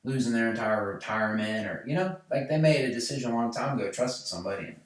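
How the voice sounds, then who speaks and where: A man's voice: mid-range voice, expressive, fast. Man, late twenties, podcast host, rambling casual chat, very echoey room, far from the mic. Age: late 20s. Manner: loose and rambling, lots of fillers and restarts.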